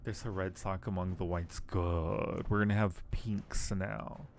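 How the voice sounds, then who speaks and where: Guy streamer w a low croaky voice, reading game text n muttering casual comments, faint music behind. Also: steady tone, measured pace. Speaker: live streamer playing a game. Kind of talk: casual game commentary and read-aloud narration.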